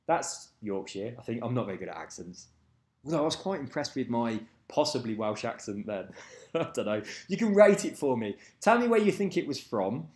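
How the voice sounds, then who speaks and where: A man's voice: mid-range voice, very animated, moderate pace, darkish tone. A man rambling casually to camera, swearing freely and losing track of names. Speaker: vlogger. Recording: echoey room.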